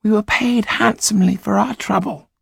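A man voicing a small fellow with a squeaky, girly voice in an audiobook, speaking slowly and earnestly. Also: steady tone, no echo, clean studio sound, full character voice. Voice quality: squeaky, raspy. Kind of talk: audiobook character voice.

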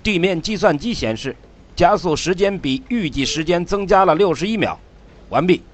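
A man's voice: sits high, animated, moderate pace. A man playing a precise, official ground control technician giving technical reports in a radio drama. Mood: matter-of-fact. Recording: faintly noisy radio transmission.

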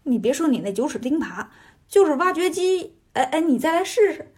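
A woman's voice: high-pitched, very animated, fairly quick, darkish tone. A woman voicing a cocky male monkey hero in a story, brash, mocking and casual. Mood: cocky taunting.

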